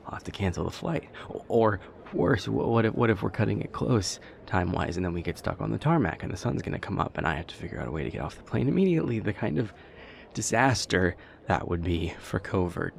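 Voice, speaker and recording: Man acting as a nervous vampire boyfriend, low gravelly voice. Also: darkish tone, slight background noise, full character voice. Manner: halting, stammering, rambling anxiously, often dropping to breathy murmurs. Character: nervous, self-deprecating immortal male vampire boyfriend, affectionate. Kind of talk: intimate boyfriend roleplay audio acting.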